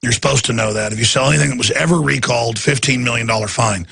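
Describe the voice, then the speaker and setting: A hoarse, raspy man hosting a radio talk show, straining his voice as he rants in indignant political commentary from a studio. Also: medium pitch, fast, fairly bright tone, no room sound. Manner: ranting, indignant.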